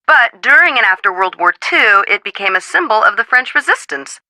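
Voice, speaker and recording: A woman's voice: on the high side, lively intonation, a little fast, bright, forceful tone, dry recording, exaggerated voice, rough recording. A woman playing an articulate, earnest historian in a video game, explaining things formally and precisely. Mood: earnest, informative.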